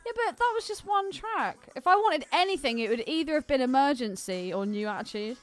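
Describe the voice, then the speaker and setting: A woman's voice: high voice, animated, fairly quick. A woman in casual film podcast banter, playful and frank. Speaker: podcast co-host. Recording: music playing behind the talk. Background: film soundtrack music.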